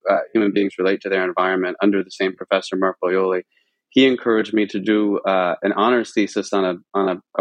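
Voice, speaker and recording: An American man with a somewhat low voice talks as an interview guest. He is informal and discursive, rephrasing himself mid-sentence like a researcher explaining his work. The sound is very dry, with no room around it. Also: dry recording, muffled recording. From central Pennsylvania, United States. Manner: informal, discursive, self-correcting. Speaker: podcast guest, religion researcher. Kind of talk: conversational interview answers.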